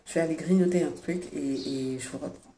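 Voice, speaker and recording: A woman with a Senegalese French accent, congested with a cold, chatting casually to her vlog viewers in a hesitant, pausing way. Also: sits low, roomy sound. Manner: chatty, hesitant with many pauses. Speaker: vlogger.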